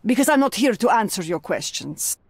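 An elderly, nosy landlady in a game cutscene. She is sarcastic and sharp-tongued, peppering her talk with endearments. The delivery is animated in pitch and recorded dry. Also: a little fast, breathy edge, no echo. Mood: stern, sarcastic. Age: older. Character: sharp-tongued, nosy old female landlady with soft spot. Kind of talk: dramatic video game cutscene acting.

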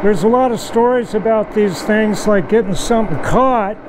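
Older man talking over loud machine noise. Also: sits high, moderate pace. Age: 60s or older. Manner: plainspoken, hands-on explaining while working. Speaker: machinist presenter. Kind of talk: casual workshop demonstration. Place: small home machine shop, indoors. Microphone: furry lavalier clipped at chest. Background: running lathe racket.

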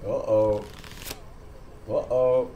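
A man's voice: on the low side, level tone, very slow, dull audio, slight background noise. A man in his thirties chatting casually and dryly while streaming a game on a close mic. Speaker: livestreamer playing an online game. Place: small room with green curtain. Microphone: close desk microphone with pop filter.

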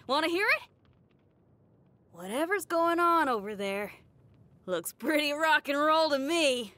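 A woman's voice: high voice, bright, forceful tone, no room sound, exaggerated voice. A woman voicing a brash young female rock guitarist in a game, cocky and upbeat. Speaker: game character. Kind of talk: game voice lines.

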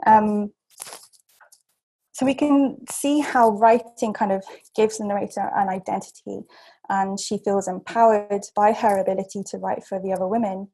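Young woman seminar speaker on a webcam call, reading her paper at a measured pace with ums and restarts; warm, slightly husky voice. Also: medium pitch, fairly even intonation, rough recording. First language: English. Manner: measured, with frequent ums and self-corrections.

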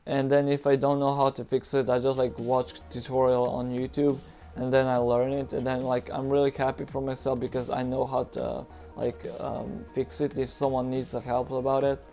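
A man's voice: mid-range voice, monotone, normal speed, dark tone, faint music behind. A young man from Croatia with a Croatian accent, hosting a casual Q and A over game footage, hesitant and nervous with many ums and likes. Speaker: gaming YouTuber.

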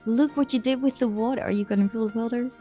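A woman's voice: on the high side, quick pace, dark tone, soft background music. A woman guest in a casual online chat, giggly and enthusiastic. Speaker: co-host guest.

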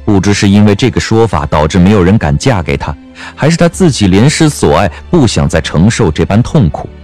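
A man narrating a scripted audio drama as an expressive storyteller. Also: lowish voice, fairly quick, faint music behind.

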